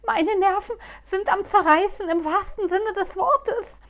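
A woman voicing a frantic, whiny little male gnome who keeps pleading in a high voice. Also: a little slow, a bit bright, exaggerated voice. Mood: whining. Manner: pleading and panicky.

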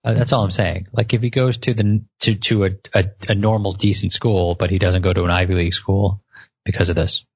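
A man in his late twenties co-hosting a casual chat, animated and often repeating words as he starts a thought. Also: sits low, fairly quick, slightly rough, no room sound. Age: 20s. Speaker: podcast co-host. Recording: muffled lo-fi audio.

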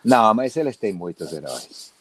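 A man's voice: fairly low pitch, normal speed, breathy edge, dry recording. A man from Chile, a correspondent, telling anecdotes and acting out the lines of a joke. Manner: acts out dialogue in a joke with lively voices. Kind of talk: anecdotal storytelling.

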